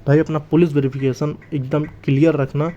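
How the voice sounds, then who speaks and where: Mellow-voiced man explaining, slightly muffled. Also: a little high, flat delivery, brisk. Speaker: exam news channel host. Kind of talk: informal explainer addressed to exam candidates. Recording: slightly dull, lo-fi sound.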